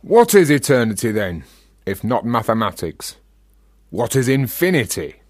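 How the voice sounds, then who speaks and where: A Yorkshire philosopher in a radio drama. He talks in rhetorical flourishes and teases as he provokes, in a mid-pitched voice recorded close and dry. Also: big pitch swings, normal speed, no room sound. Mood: argumentative, expansive. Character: talkative Yorkshire freethinker, teasing and philosophising. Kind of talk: radio play acting.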